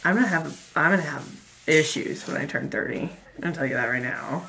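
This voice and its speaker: A woman, chatting excitedly with a friend on a webcam in a small room, cutting in and acting out what she thought. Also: low voice, fairly quick, vocal fry, poor audio. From Texas. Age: late 20s. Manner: excitable, jumps in, acts out quotes. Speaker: vlogger. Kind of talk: casual chat with a friend. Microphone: webcam in front.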